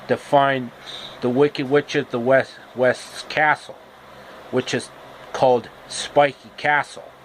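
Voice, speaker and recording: A man telling a story informally, with halting, deliberate phrasing and casual asides. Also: mid-range voice, a little hiss or hum, rough recording. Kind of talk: informal, improvised storytelling with pop-culture asides.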